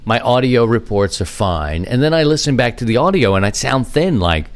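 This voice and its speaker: A man hosting a podcast, talking casually and informally in a rambling, self-deprecating monologue with animated asides. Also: mid-range voice, normal speed. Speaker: podcast host. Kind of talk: casual rambling hobby monologue on amateur radio.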